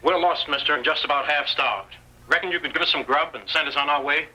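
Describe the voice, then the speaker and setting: An actor playing a scheming, bossy outlaw in an old Western radio drama, talking in colloquial cowboy style. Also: male voice, a little high, quick pace, bright, forceful tone, breathy edge, exaggerated voice. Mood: falsely polite. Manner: commanding, colloquial cowboy talk. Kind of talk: 1930s-40s Western radio acting. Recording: noisy old-time radio recording.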